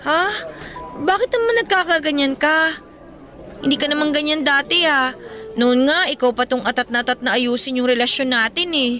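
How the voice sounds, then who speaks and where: High, bright, pleading teenage girl. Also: normal speed, faint music behind. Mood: remorseful, pleading. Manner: emotional, imploring. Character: pleading, desperate teenage student girlfriend, Melissa. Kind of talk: radio drama acting in Filipino.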